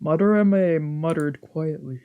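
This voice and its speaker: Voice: mid-range voice, measured pace, clear, resonant tone, phone-quality sound. A streamer casually narrating and commenting on a visual novel, swearing and reacting freely between lines read aloud. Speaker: streamer doing a let's play.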